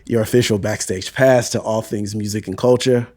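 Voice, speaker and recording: A man's voice: on the low side, steady tone, moderate pace, darkish tone. An American man hosting a podcast in a casual, conversational style. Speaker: podcast host. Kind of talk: casual hosting, guest introduction.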